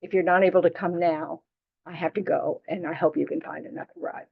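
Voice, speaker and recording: A woman's voice: on the low side, steady tone, fairly quick, gravelly, slightly rough. Chatty woman teacher, dull recording. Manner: chatty, frank, teasing, full of "you know". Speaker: Buddhist teacher. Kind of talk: casual dharma teaching to a class.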